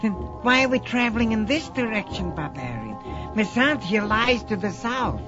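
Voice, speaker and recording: A timid, pleading little desert trader. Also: male voice, sits high, very animated, normal speed. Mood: wary. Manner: stammering, anxious, pleading. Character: small, twisted, timid male desert trader, cowardly and pleading. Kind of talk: audio drama acting. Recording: dull lo-fi audio drama, music behind.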